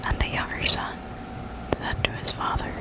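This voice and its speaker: A person whispering gently and soothingly into a close microphone in an ASMR reading style, with an unvoiced, breathy quality. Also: normal speed. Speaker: host of an ASMR reading channel. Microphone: close desktop condenser microphone.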